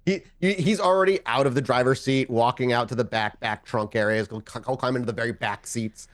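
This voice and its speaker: A man's voice: mid-range voice, fairly quick. A man running a tabletop roleplaying game as storyteller, narrating and refereeing, repeating words as he improvises.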